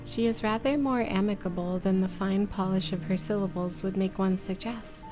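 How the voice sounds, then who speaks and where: A woman's voice: mid-range voice, fairly even intonation, moderate pace, over music. A woman livestreamer reading a visual novel aloud and chatting casually, with a playful, affectionate manner. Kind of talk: casual stream chat and read-aloud narration.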